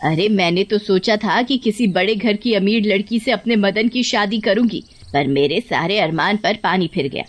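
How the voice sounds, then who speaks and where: A woman voicing a harsh older mother-in-law, sharp, nagging and contemptuous, in a cartoon story. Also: medium pitch, moderate pace, hardly any pauses, close to an everyday voice. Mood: angry, scornful.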